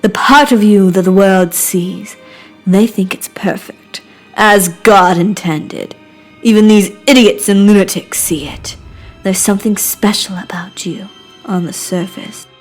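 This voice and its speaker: A woman voicing an obsessive, lovesick madman, theatrical and crooning, with breathy whispers, in a game character reel. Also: medium pitch, unhurried, soft background music. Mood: ominous, intimate. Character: obsessive lovesick madman, tender then menacing suitor.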